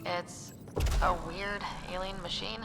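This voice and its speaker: A woman's voice: medium pitch, level tone, slow, creaky. Young sci-fi scout lady, level-headed, dry n casual, game ambience noise behind her. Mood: wry, puzzled. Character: young female recon specialist, level-headed, curious explorer. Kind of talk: naturalistic video game dialogue acting. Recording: game audio over loud ambient noise.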